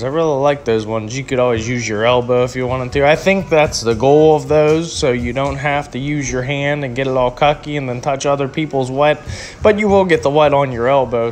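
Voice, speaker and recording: A young man from recording a joking, rambling voice-over at home, reviewing a public restroom in a mock-serious way. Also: mid-range voice.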